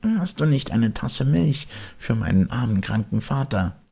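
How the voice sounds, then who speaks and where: A man's voice: mid-range voice, expressive, measured pace, creaky, darkish tone, breathy edge, pitched above the performer's own voice, exaggerated voice. A man voicing an earnest young wooden puppet boy in a storybook, speaking emphatically and with feeling.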